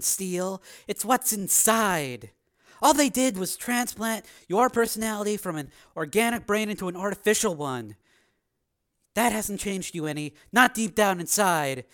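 A man's voice: high-pitched, fairly bright tone. A man voicing a tough, devoted young woman who speaks bluntly and earnestly in audiobook dialogue. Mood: exasperated, insistent.

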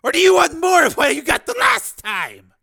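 A man's voice: sits high, wildly swooping pitch, unhurried, gravelly, sharp tone, husky, pitched above the performer's own voice, full character voice. A man voicing a vicious, derisive pimp in a dramatic story reading, with a snarling, hissing delivery. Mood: snarling rage. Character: vicious, sneering male pimp Rico, pretty and flamboyant.

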